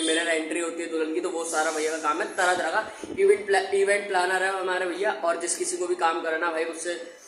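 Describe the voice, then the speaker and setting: A young man talks casually to his viewers in a chatty, informal way. His voice sits a little high and moves within a narrow, flat range. The room is echoey, with some background noise. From India. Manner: informal, chatty, often addressing viewers as brother. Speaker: vlogger. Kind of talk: casual chat to camera.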